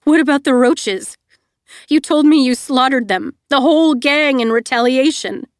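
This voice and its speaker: A young woman torn between rage and grief, as a woman reads her, her voice cracking high and loud. She shrieks and sobs out her accusations. The sound is close and dry. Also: moderate pace, no echo, lightly acted. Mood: accusing. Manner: shrieking through tears. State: crying. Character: young woman, anguished and furious.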